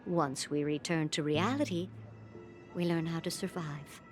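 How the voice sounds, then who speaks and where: A woman's voice: low voice, measured pace, faint music behind. A woman playing a hopeful, reflective character in a video game story. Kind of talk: scripted video game voice acting. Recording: clear background noise.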